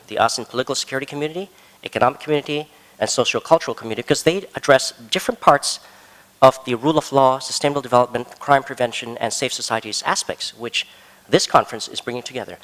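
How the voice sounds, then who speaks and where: A man giving earnest, measured remarks on a conference panel and stressing his key words. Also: mid-range voice, fairly bright tone. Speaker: panel speaker. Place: large conference hall. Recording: long hall reverb.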